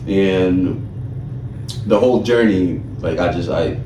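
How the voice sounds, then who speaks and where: A man in his late twenties from Ethiopia, telling his own story casually as an interview guest, halting and peppered with filler phrases. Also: low-pitched. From Ethiopia, then Buffalo in the US from age eleven. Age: late 20s. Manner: halting, frequent "you know". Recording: roomy, distant mic, clear background noise.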